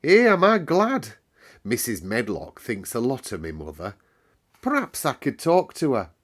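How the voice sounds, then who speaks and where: A man's voice: medium pitch, huge pitch swings, a little slow, voice pushed up. A man voicing a cheerful, chatty young Yorkshire housemaid in broad dialect for a children's storybook. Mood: chatty, proud. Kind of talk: children's storybook read-aloud.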